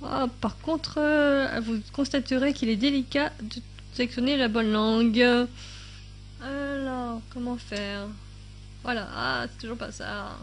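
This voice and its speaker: Woman, fairly high voice, chatty screen-recording tutorial at her computer, lots of fillers and thinking aloud. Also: steady tone, measured pace, faint music behind. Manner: reads out translated subtitles in other languages. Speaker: YouTube tutorial maker.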